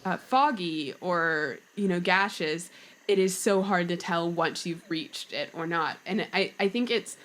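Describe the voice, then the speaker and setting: A woman's voice: on the low side, a little slow, a bit bright. A woman speaking as a podcast guest in a casual panel chat, informal and conversational.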